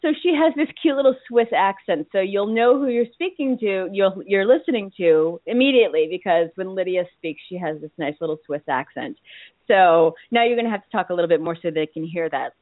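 A woman's voice: mid-range voice, lively intonation, a little fast, no echo. A talkative woman with a Boston accent hosts a call-in style radio chat, laughing easily and tripping over her own restarts. Her voice comes through a tinny phone line. The sound is dry and close, with no room around it. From Boston, USA. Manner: chatty, laughs often, many restarts. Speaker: radio show host. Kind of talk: casual chat.

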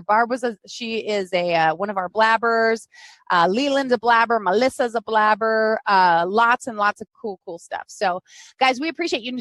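A woman's voice: on the high side, fairly bright tone, no echo. A woman hosting a live-streamed talk show, chatty and bubbly, greeting viewers by name and plugging links. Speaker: podcast host.

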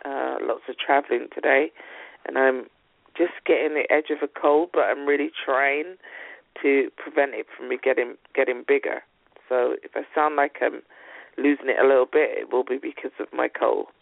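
A male radio host with a cold and a blocked-up nose, talking in a friendly, halting way with many fillers. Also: mid-range voice, steady tone, vocal fry, no echo. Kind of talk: casual radio interview. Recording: tinny phone-line sound.

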